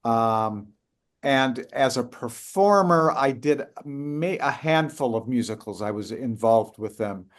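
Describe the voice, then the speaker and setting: An older man answering interview questions about co-writing a musical, speaking haltingly with many fillers and restarts. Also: mid-range voice, expressive. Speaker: guest, co-writer of a musical.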